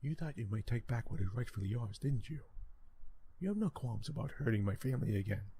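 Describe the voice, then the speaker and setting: A man voicing an angry, suspicious young royal in a visual novel, hushed and accusing. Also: medium pitch, animated, fairly quick, lightly acted. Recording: background noise, open window.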